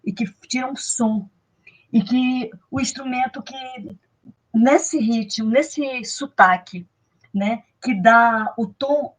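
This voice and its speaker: A woman's voice: mid-range voice, rough recording. A woman guest, explaining a folk tradition in an interview with many fillers and restarts.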